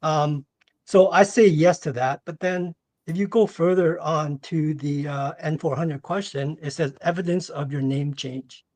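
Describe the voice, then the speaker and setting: Halting, higher-voiced male caller, dry sound. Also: steady tone, a little mellow, no echo. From Canada, born in Singapore. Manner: halting, hesitant, circling back to rephrase. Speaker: caller with a question. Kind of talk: casual question on a call-in show.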